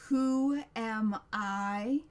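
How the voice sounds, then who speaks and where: A woman's voice: medium pitch, a little mellow. A woman voicing an ancient, towering tree monster in a storybook, proud and menacing, speaking in a grand, declamatory way. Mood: indignant. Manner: grand, slow, declamatory, building to a roar.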